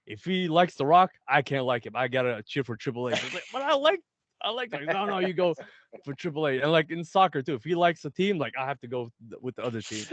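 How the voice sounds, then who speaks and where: A young man from Egypt, Arabic his mother tongue, speaks English. He chats loosely, full of "like" and quick laughs. His voice sits a bit high and the sound is dry and close. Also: animated, fairly bright tone, dry recording. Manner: laughs often, fillers like "like". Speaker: wrestler guest. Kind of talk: casual interview chat.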